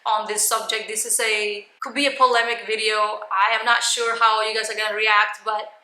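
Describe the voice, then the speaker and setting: Woman, very bright forceful voice, highish pitch, emphatic and blunt, motivational coach, roomy echo. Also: little pitch movement, normal speed. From Cuban. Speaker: life coach, video host. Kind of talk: motivational coaching talk to camera.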